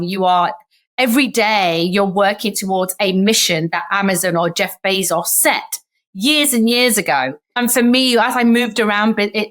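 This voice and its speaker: A woman's voice: mid-range voice, fairly bright tone. A woman in her thirties from Sheffield, with a Sheffield English accent, a chatty storyteller who acts out remembered conversations as a podcast interview guest. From Sheffield, England. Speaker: guest, startup founder.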